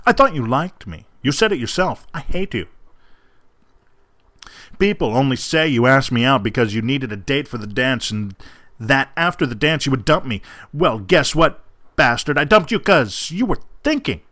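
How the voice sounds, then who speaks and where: A man's voice: medium pitch, animated, normal speed, sharp tone, dry recording. A man playing a furious, scornful jilted young person in an emphatic, declamatory dramatic reading. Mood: indignant, scornful.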